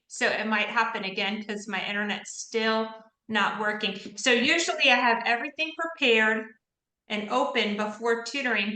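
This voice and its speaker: A woman's voice: on the high side, pushed and bright, reverberant, low-quality recording. A woman, tutoring a group online in a chatty, informal way, often checking in with "right?". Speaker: test-prep tutor. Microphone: computer mic on a video call.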